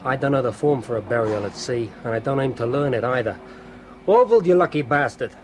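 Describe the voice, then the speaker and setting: A man's voice: mid-range voice, animated, a little fast, close to an everyday voice. An actor playing a bossy oil rig foreman who barks orders and curses. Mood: gruff. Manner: barking orders, cursing. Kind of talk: 1970s radio drama acting. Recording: loud rig machinery noise.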